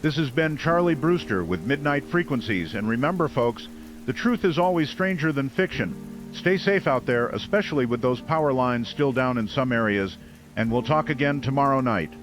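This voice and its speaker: An actor playing an affable small-town late-night radio host, polite, reassuring and folksy with callers and listeners. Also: male voice, mid-range voice, animated, normal speed, music in the background, natural voice. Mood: folksy sign-off. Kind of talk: radio drama, late-night talk show hosting.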